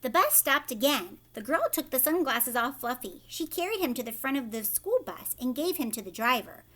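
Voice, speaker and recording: High-pitched, animated woman reading to children. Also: normal speed. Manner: expressive, asks the listener questions. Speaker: teacher reading a storybook aloud to children. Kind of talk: read-aloud storytelling with asides to young listeners.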